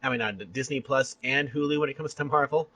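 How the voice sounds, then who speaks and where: A man chatting casually as a podcast interviewer, talking in an animated, rambling, enthusiastic way. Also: mid-range voice, quick pace. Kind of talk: casual fan chat about entertainment.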